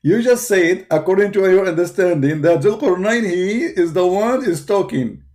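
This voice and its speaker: Mocking man with a mellow voice. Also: sits high, animated, moderate pace. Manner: mocking, combative, laughs often. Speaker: debate show host. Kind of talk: live reaction commentary.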